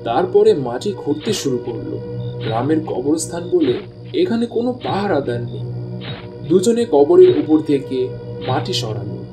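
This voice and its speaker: A man's voice: a little high, sing-song, measured pace, music in the background, poor audio. A man reading scripted storytelling narration as the narrator of a tale.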